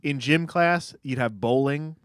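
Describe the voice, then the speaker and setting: Jokey American podcast co-host. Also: male voice, mid-range voice, unhurried, smooth, no echo, clean studio sound. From United States. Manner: jokey, breaks things down. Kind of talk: casual chat.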